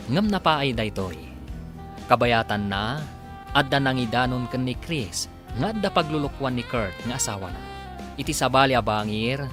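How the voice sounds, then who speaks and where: A man narrating a radio drama serial as its announcer, in a dramatic scripted style. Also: medium pitch. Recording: music behind the voice. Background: loud musical score.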